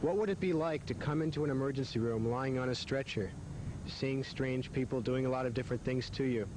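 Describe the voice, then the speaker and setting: An American man, a doctor and host, narrating a medical program from a script in a formal, measured way. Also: medium pitch, noisy background. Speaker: host and physician.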